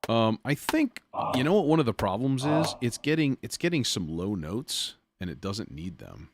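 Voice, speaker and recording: A man's voice: mid-range voice, big pitch swings, moderate pace. A man hosting a casual live music production demo, muttering as he thinks aloud with folksy phrasing. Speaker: music production tutorial host.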